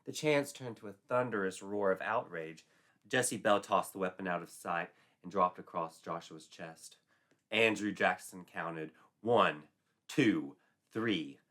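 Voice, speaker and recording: A man's voice: low-pitched, measured pace, a bit bright. A man narrating a folklore tale as a storyteller, in a dramatic scripted style.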